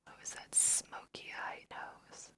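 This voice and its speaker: A woman's voice: slow, sharp tone. Whispering woman, ASMR gaming host. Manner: casual, musing, addresses viewers. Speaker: let's play host. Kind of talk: whispered ASMR game commentary.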